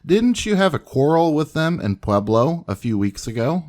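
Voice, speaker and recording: A man's voice: on the high side, very animated, moderate pace, darkish tone. A man hosting a history video, reading scripted documentary narration.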